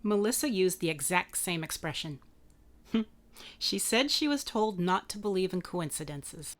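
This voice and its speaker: A woman acting as a sharp, cool-headed insurance investigator in a contemporary radio drama, casual and dryly confident. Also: fairly low pitch, lively intonation, a little slow. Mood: wry, thoughtful.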